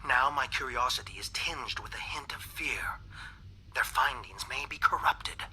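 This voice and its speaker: An actor playing a fearful dissident alien scientist in a game cutscene, speaking in a measured, grave manner. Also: male voice, mid-range voice, sharp tone, slight background noise, poor audio.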